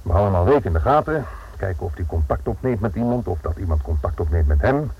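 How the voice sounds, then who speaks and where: An actor playing a stern, dryly sardonic police inspector in a Dutch radio drama, speaking formally and commandingly with a Netherlands accent. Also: male voice, sits low, lively intonation, quick pace, breathy edge, full character voice. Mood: reproachful, dry. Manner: formal, commanding, faintly sarcastic. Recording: muffled, narrow-band old radio recording.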